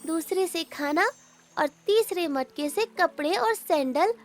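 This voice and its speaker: A woman voicing a kind magical talking fish in an animated moral story, gently reassuring. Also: very high-pitched, slow, soft background music, exaggerated voice. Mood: generous.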